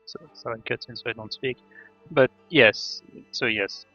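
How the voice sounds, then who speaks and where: A man chatting casually on a game livestream, halting often as he thinks aloud. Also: medium pitch, sharp tone, dry recording. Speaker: game streamer. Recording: dull band-limited mic, faint music.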